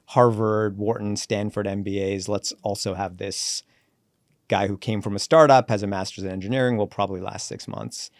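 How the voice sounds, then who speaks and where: A man in his forties, a company CEO born in Sri Lanka and raised in Canada, telling his career story in a relaxed podcast interview and acting out the dialogue he quotes. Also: on the low side, no room sound, clean studio sound. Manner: reflective, brisk storyteller who acts out quoted dialogue.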